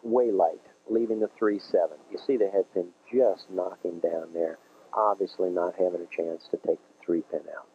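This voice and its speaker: A Midwestern American man commentates a televised bowling match in a folksy, explanatory way. His voice sits low and a little husky. The sound is dull and lo-fi, like an old 1980s TV broadcast. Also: steady tone, normal speed. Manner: folksy, encouraging, explains the game to viewers. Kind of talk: TV sports commentary.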